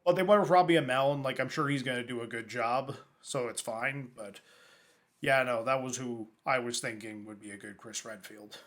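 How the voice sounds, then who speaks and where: A man's voice: mid-range voice, normal speed, fairly bright tone. A man co-hosting a casual film podcast, rambling a bit and filling his talk with "like" as he chats about casting. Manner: rambling, many filler likes. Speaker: podcast co-host. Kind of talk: casual chat about films and games.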